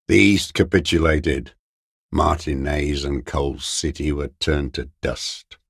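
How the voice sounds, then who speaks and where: Very deep, gravelly old deserter. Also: male voice, no room sound, studio quality, exaggerated voice. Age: older. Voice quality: gravelly, raspy, husky. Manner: slow, muttering, contemptuous delivery with terse fragments. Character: bitter old communist deserter, hiding in ruins. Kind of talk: video game character voice acting.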